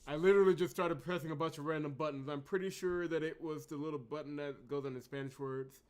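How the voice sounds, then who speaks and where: A man's voice: on the high side, fairly even intonation, fast talker. A man hosting a video game playthrough, talking off the cuff in an exasperated, emphatic way that swings between outbursts and muttering. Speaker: gaming channel host. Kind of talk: unscripted let's play commentary.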